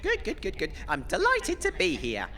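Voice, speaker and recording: A man's voice: high voice, wildly swooping pitch, normal speed, pushed and bright, soft background music, exaggerated voice. A man playing a pompous, easily flustered British wasteland radio DJ in a comedy audio drama. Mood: delighted. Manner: pompous, hesitant when challenged. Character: self-important, flustered wasteland radio DJ telling boastful anecdotes.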